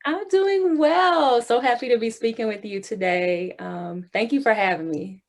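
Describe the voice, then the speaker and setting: A woman's voice: fairly high pitch, a little slow. A young woman singer from the Houston area in Texas, chatting in an interview, talkative, laughing often, with many ums. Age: young adult. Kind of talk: casual interview chat.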